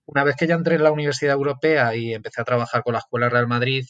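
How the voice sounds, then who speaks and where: Spanish man, flat steady delivery. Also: medium pitch, rapid-fire, no room sound. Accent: Spanish (Castilian). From Castilla-La Mancha, Spain. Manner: thoughtful, many fillers and restarts. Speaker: guest, sports management lecturer. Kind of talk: conversational interview answers. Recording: dull lo-fi remote audio.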